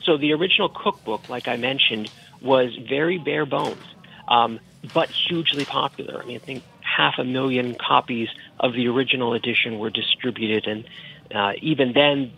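A man's voice: medium pitch, fairly quick, creaky, sharp tone, rough recording. A man speaking as an author guest in a podcast interview, explaining in a chatty, professorial way.

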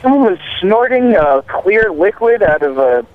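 A man's voice: high voice, sing-song, measured pace, no echo. A man chatting casually and wryly as a correspondent phoning in to a radio show. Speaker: call-in correspondent. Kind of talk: casual chat. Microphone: phone. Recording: phone call into a radio show.

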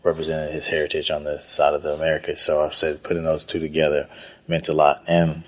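A man's voice: very deep voice, monotone, quick pace. A thirty-year-old man from Houston, Texas, giving conversational answers as an interview guest, hesitant and thinking as he goes. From northeast Houston, Texas. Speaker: interview guest, chef and restaurant owner.

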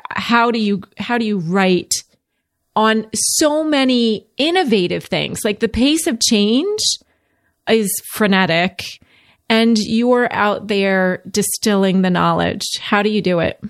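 A woman hosting a podcast interview, friendly and quick to laugh. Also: medium pitch, animated, no room sound, studio quality. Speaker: podcast host. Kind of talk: conversational interview.

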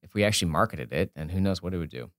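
A man with a fairly low voice speaks casually as an interview guest. He thinks out loud, often breaking off a sentence to rephrase it. The sound is dry and close, with very little room. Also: animated, very fast, no echo. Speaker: podcast guest, entrepreneur and author.

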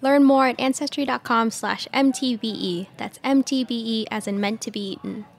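A woman's voice: on the high side, fairly even intonation, moderate pace, creaky, a little hiss or hum. A woman from Southern California with an American accent, hosting an interview podcast. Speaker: podcast host. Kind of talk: scripted intros and conversational interview.

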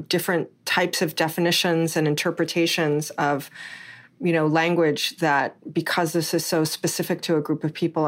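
A woman from Hawaii hosting a podcast interview in an upbeat, conversational way. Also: low-pitched. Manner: upbeat, conversational, some restarts. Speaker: podcast host. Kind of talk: casual interview chat.

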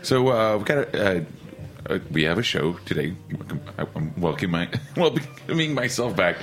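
A man's voice: deep voice, sing-song, moderate pace, a bit bright, soft background music. A man co-hosting a podcast in a laid-back casual way, often saying "man" and hesitating with uhs. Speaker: podcast co-host. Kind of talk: casual interview chat.

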